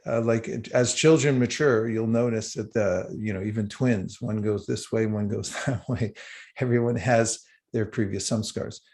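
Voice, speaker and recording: A man giving a livestreamed spiritual talk with slides, unhurried and halting, with small chuckles. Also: lowish voice, fairly even intonation, a little mellow. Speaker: spiritual teacher.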